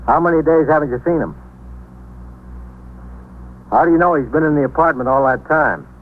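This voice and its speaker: A man's voice: on the high side, moderate pace, natural voice. Clipped American police desk sergeant, old-radio sound man acting. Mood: businesslike. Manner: clipped, questioning. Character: businesslike male police desk sergeant taking calls. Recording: muffled, noisy 1950s radio recording.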